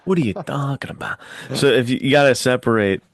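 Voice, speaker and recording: A man's voice: medium pitch, animated, fairly quick, no room sound. A man hosting a casual podcast, talking in an informal, slangy and enthusiastic way.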